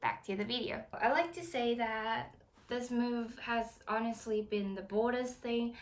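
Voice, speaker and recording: A woman's voice: on the high side, a little slow. A woman in her twenties from Taiwan, a native Chinese speaker, talking to camera in an earnest, encouraging vlog. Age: 20s. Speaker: vlogger. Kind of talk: casual talk to camera.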